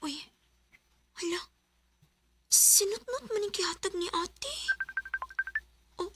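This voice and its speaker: An actress playing a lovesick young female student in a radio drama, often musing in hushed whispers. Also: extremely high pitch, animated, slow, full character voice. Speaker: lead character and narrator. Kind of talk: Visayan radio drama acting.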